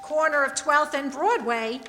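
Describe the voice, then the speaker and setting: Woman acting ambulance dispatcher, high voice, slow announcements, stage radio drama, echoey hall. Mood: detached, official. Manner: announcing, measured.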